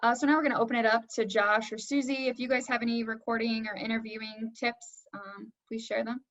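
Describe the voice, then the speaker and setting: Woman, panel moderator, somewhat high pitch, informal practical talk, dull lo-fi sound. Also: steady tone, a little fast.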